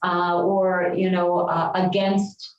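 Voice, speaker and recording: A woman's voice: fairly low pitch, completely flat delivery, slow pace, lo-fi sound. A woman, a religious sister, sharing earnest and thoughtful reflections in a group talk. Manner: earnest, thoughtful, warmly agreeing. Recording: very echoey room, distant mic, faint noise.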